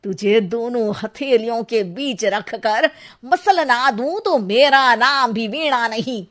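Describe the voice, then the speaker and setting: A woman voicing a quarrelsome, scornful old mother-in-law in a cartoon, with a scolding, sharp-tongued manner. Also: on the high side, animated, slow pace, pitched below the performer's own voice. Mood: furious, mocking. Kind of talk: exaggerated Hindi cartoon family drama.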